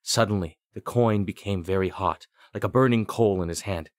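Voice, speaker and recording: Low-voiced man narrating fantasy. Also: normal speed, dry recording, studio quality. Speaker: audiobook narrator. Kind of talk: scripted fantasy story narration.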